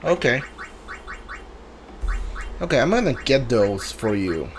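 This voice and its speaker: A man's voice: mid-range voice, sing-song, takes it slowly, slight background noise. A man giving casual let's play commentary, muttering reactions and reading item names aloud as he plays. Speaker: video game player streaming a playthrough.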